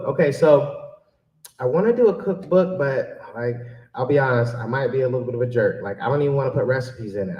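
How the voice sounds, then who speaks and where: A man's voice: mid-range voice, highs cut off. A man giving an informal, joking and self-deprecating audience Q&A talk as a keynote speaker and author. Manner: joking, self-deprecating, animated when quoting himself. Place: public library event room. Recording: echoey room, mic at a distance.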